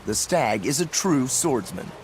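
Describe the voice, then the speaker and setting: A man's voice: medium pitch, big pitch swings, measured pace, a little hiss or hum, poor audio. A man voicing an easygoing, boyishly enthusiastic swordsman in a video game, informal and breezy. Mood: excited, argumentative. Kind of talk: English video game voice acting.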